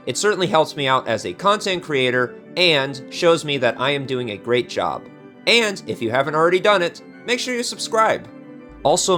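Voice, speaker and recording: A man's voice: fairly high pitch, a bit bright. A man presenting a casual video introduction in a chatty, opinionated way.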